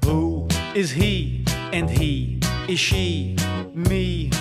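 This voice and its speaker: A man's voice: fairly high pitch, extremely slow. A man voicing a friendly bearded cartoon cowboy who patiently explains things and breaks into cheerful song. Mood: singing cheerfully. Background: loud music.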